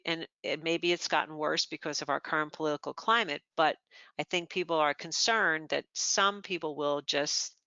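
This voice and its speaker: Webinar presenter, bright crisp delivery with some fry, methodical slide-by-slide explainer, dry, slightly dull audio. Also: medium pitch, normal speed, no room sound. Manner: steady, explanatory, walks through each slide point by point.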